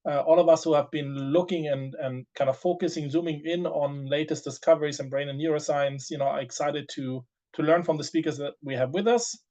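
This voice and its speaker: A man's voice: fairly high pitch, steady tone, fairly quick, few pauses, a little mellow. A man moderating an online panel, speaking with many fillers and restarts over a video call. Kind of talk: webinar moderation.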